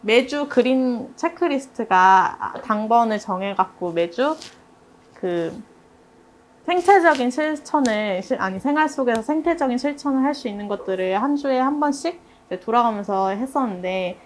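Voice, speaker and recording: A woman with a fairly high voice leads a relaxed group conversation. She talks in a chatty, storytelling way, as if among colleagues she knows well. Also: moderate pace, a little hiss or hum. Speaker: interviewer and project member leading the discussion. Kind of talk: casual group discussion.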